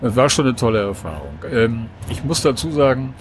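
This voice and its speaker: A man's voice: medium pitch, big pitch swings, brisk, a little hiss or hum. A man in his sixties, a guest, talking candidly and thoughtfully about late fatherhood in casual conversation on a train. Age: 60s. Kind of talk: casual chat.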